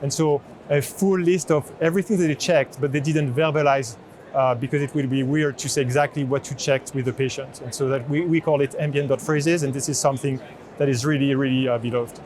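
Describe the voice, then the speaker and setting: A man gives a spontaneous product demo in an explanatory, halting manner. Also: medium pitch, fairly even intonation, a little mellow. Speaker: chief product officer giving a product demo. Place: indoor trade show booth in large exhibition hall. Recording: busy convention hall background noise. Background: exhibition hall noise.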